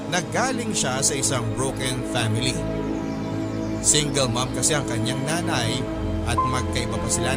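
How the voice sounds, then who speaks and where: A man with a medium-pitched voice narrates a confessional letter. The delivery is scripted, dramatic storytelling, set over loud background music. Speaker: narrator reading a listener's letter. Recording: music under the voice.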